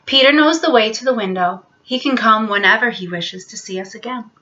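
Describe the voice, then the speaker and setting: Woman doing sweet motherly young girl in a bedtime story, earnest, polite, bright high voice. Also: a little fast, muffled recording. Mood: resigned.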